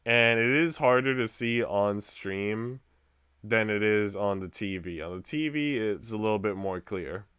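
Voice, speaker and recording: A man's voice: fairly low pitch, measured pace, fairly bright tone, smooth, no echo, studio quality. A man streaming a visual novel, reading its narration aloud and chatting with viewers.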